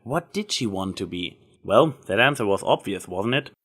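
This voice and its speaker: A man's voice: fairly low pitch, expressive, normal speed, a bit bright, breathy edge. A man reading scripted fan fiction as the story's narrator.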